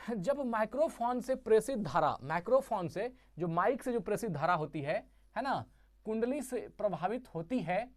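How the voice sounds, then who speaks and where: A woman's voice: mid-range voice, animated, normal speed, a little mellow. A woman teaching a physics lesson who asks the class questions, answers them herself and repeats each key term.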